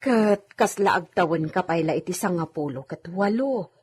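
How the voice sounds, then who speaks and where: A woman's voice: on the low side, lively intonation, moderate pace, a little mellow, breathy edge. A woman playing a humble, deferential village housemaid who is easily flustered, in an Ilocano radio comedy drama. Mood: deferential, admiring. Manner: exclamatory, respectful, flustered.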